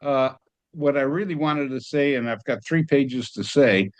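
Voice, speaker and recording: A man's voice: mid-range voice, a little slow. A man giving effusive, emphatic feedback in a casual way as an audience member on a video call.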